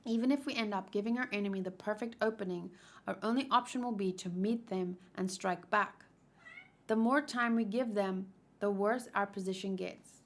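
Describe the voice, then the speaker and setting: A woman reading manga aloud as a stern male military commander, grave, decisive and measured. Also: medium pitch, close to an everyday voice. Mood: resolute.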